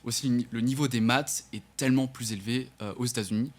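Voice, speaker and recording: A man's voice: medium pitch, fairly even intonation, quick pace. A man in his twenties, raised in the United States and then France, answering interview questions as an entrepreneur, hesitant and full of fillers. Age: 20s. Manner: hesitant, many fillers and restarts, self-corrects. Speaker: entrepreneur guest.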